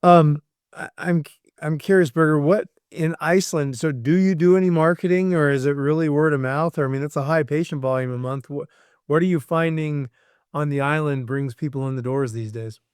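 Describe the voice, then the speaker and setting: A man's voice: on the high side, steady tone, brisk, darkish tone, smooth, dry recording, clean studio sound. A man hosting a casual interview, asking questions informally and often affirming what his guest says. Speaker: podcast host, interviewer.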